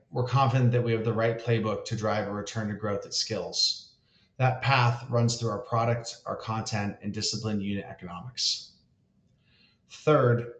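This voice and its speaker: Echoey male chief executive, formal delivery. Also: on the low side. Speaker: company founder and chief executive. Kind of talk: scripted earnings call remarks. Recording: echoey, roomy sound.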